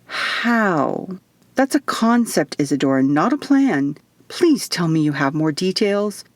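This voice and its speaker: A woman's voice: on the low side, sing-song, slow, no echo. A woman voicing a sarcastic, skeptical young woman in an audiobook, blunt and exasperated.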